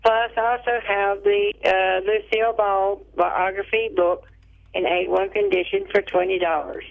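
A woman's voice: mid-range voice, fairly even intonation, normal speed, vocal fry. A woman calling in to a radio show, chatting in a rambling, folksy way over the telephone. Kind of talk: casual phone chat. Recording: thin narrow telephone line on radio.

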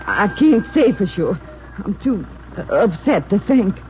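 A woman playing a nervous, evasive farm wife in a 1950s radio drama, speaking haltingly and tremulously. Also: mid-range voice, big pitch swings, faint music behind. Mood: nervous, upset. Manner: halting, trembling, hesitant. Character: frightened, evasive farm wife hiding a secret. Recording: muffled, hissy 1950s radio recording.

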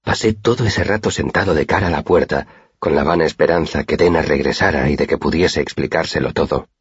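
A man's voice: a bass voice, a little fast, slightly rough, dry recording. A man reading a first-person literary story as an audiobook narrator, in a dull, muffled recording.

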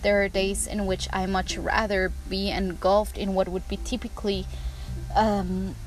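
A woman's voice: medium pitch, little pitch movement, unhurried, slight background noise, low-quality recording. A young woman hosting a personal podcast, speaking candidly and thoughtfully in English, which is not her first language. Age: young adult. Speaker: podcast host. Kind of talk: personal reflective podcast monologue.

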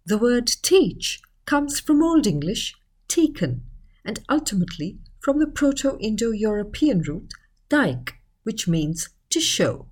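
A woman reading a scripted educational article in a measured, explanatory manner. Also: a little high, sing-song, a little mellow. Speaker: article narrator.

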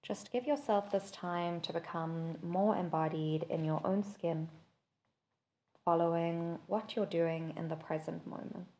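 A woman leading a guided body scan meditation, giving gentle, measured instructions with long pauses between phrases. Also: on the low side, poor audio. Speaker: podcast host and meditation teacher.

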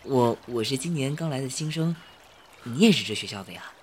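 An actor playing a young male college freshman in a Chinese audio drama, wry, quick to bristle and privately wistful, speaking colloquially. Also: mid-range voice, expressive, background noise, close to an everyday voice. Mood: curious, wry. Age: young adult.

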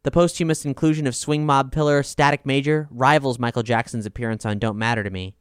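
A man reading a scripted music review in a measured, articulate, polished way. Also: mid-range voice, fairly even intonation, smooth, dry recording, studio quality. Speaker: album review reader and podcast host.

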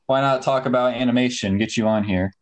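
A man's voice: medium pitch, fairly quick. Laid-back young male podcast host. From Ohio. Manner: laid-back, casual slang. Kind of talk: casual chat.

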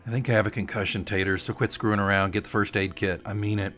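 Casting: male reader as restless, irritable grumbler, low register, sullen delivery in a narrated tale, music underneath. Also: flat delivery, fast talker, a bit bright, natural voice. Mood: dazed, annoyed. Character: grouchy, restless man nursing martinis on a boat.

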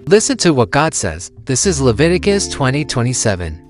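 A woman's voice: rumbling low, very animated, a little fast, faint music behind, voice pushed down. A young woman voicing a stern, devout father in a read-aloud story, speaking solemnly and preachily. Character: stern devout father, grown man, lecturing.

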